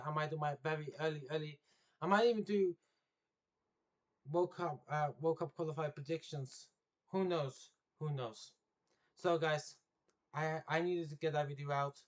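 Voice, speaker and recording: Rambling young man talking football. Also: fairly high pitch. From England. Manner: rambling, self-correcting, loses his train of thought. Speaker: football vlogger. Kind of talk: casual unscripted vlog.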